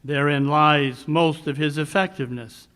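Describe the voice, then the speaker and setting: A man playing a cool, commanding detective in a live 1940s-style radio mystery, speaking formally and deliberately with dry superiority. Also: fairly high pitch, steady tone, a bit bright, lightly acted.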